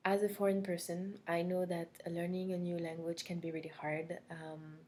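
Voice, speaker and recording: A young woman from Corsica with a French accent, introducing herself as an online French instructor in a relaxed, unscripted way with frequent ums. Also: lowish voice, moderate pace. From Corsica, France. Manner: friendly, unscripted, with frequent ums and soft laughs. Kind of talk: self-introduction to an online class.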